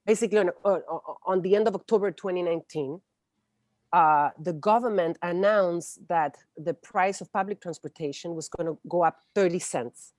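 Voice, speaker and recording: A woman's voice: on the low side, moderate pace, darkish tone, no echo. A woman in her forties with a Chilean accent and Spanish as her first language, explaining things in an interview and often searching for words. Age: 40s. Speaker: interview guest. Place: indoors, room with bookshelves, on a video call. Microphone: wired earphones on a video call.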